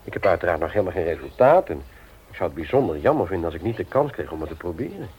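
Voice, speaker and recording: A man's voice: sits low, big pitch swings, very fast, slightly rough, exaggerated voice. An actor playing a middle-aged husband and father in a Dutch radio play, teasing, hearty and mock-indignant in a domestic scene. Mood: measured, earnest. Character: grumbling but affectionate middle-aged husband and father, office man. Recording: dull, lo-fi old radio recording.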